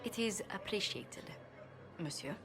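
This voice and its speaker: A woman's voice: mid-range voice, animated, a little slow, a bit bright, faint music behind. An actress playing a poised, diplomatic noblewoman, speaking formally in a video game. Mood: cool, polite. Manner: formal, articulate. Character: poised, diplomatic young noblewoman under threat. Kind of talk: video game cutscene acting.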